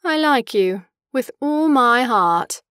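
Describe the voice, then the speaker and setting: An imperious young lady lover in an audio drama. Her voice sits fairly high, poised and articulate, with a teasing, mocking edge. The sound is close and dry. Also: animated, takes it slowly, a bit bright, clean tone, no echo. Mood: amused, teasing.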